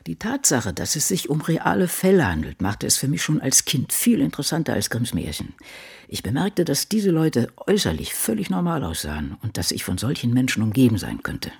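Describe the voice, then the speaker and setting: An actor in a literary radio drama voicing the brooding diary thoughts of an aging crime novelist, gravelly and husky. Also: male voice, mid-range voice, huge pitch swings, a little fast, a little mellow, full character voice. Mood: morbid fascination.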